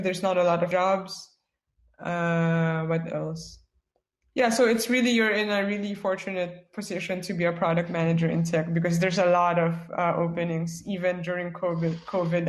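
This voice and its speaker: A woman speaks as a guest at a webinar, casual and chatty. Her talk is loose and full of "like" and "yeah." Her voice sits at a middle pitch and echoes off the room around her. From Philippines. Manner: chatty, frequent "like" and "yeah" fillers. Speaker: guest speaker, product manager.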